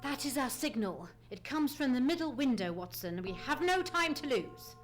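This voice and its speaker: A woman's voice: high-pitched, sing-song, moderate pace, loud music behind the voice. A woman playing a keen, commanding male detective in a live old-time radio mystery, speaking precisely and theatrically. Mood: brisk, commanding. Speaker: radio play actor. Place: theatre stage. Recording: noisy live theatre stage recording.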